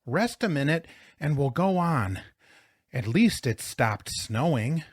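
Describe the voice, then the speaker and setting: A man's voice: a little high, big pitch swings, slow, slightly rough, dry recording, studio quality, pitched above the performer's own voice, heavily acted. A man voicing a firm, weary but resolute older woman in an audiobook story. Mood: panting, resolute.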